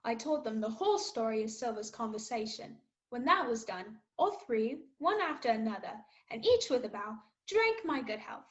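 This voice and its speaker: A woman's voice: a little high, sing-song, moderate pace, few pauses, highs cut off. A woman playing an earnest young cabin boy who narrates his sea tale in a scripted radio play. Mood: earnest, storytelling.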